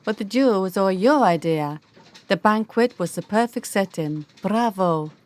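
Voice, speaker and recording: A woman's voice: medium pitch, natural voice. An actress playing a poised, confident and scheming young noblewoman in a radio drama. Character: scheming, self-assured young runaway noblewoman.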